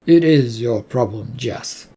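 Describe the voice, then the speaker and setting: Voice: mid-range voice, expressive, takes it slowly, gravelly, warm tone, husky, heavily acted. A narrator voicing a stern, accusing male company boss, insistent and blunt, in an audio story.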